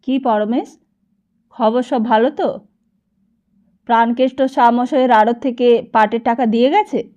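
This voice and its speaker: Lady doing a bossy penny-pinching landlord man in an audiobook, suspicious, snaps questions, soft mellow tone, slightly dull audio. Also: fairly high pitch, steady tone, natural voice. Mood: testy, questioning. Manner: peremptory, rapid-fire questioning. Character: stingy, suspicious male village landlord, demanding and testy.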